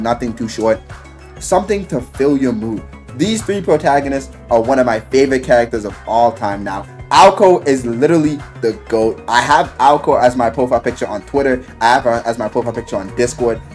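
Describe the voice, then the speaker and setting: A man giving an animated, casual video game review as a vlogger, with excitable, slangy, profane delivery. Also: medium pitch.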